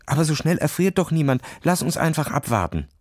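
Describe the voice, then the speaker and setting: A man's voice: a little high, sing-song, fairly quick, a little mellow, no room sound, clean studio sound, voice pushed up. A man voicing an anxious, cautious schoolboy who pleads urgently in a children's audio story. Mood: worried warning.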